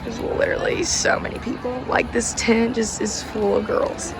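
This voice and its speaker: A college freshman in her late teens, chatting in a casual vlog with a slightly hoarse voice as she loses it, rambling with many "like" fillers. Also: on the high side, little pitch movement, moderate pace, music in the background, a crowd in the background, low-quality recording.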